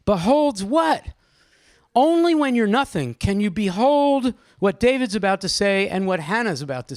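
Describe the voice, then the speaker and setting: Male discussion leader, high register, swooping intonation, encouraging and inquisitive, live group setting. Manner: animated, questioning, encouraging. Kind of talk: lively seminar-style poetry discussion. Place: live group event with audience microphones.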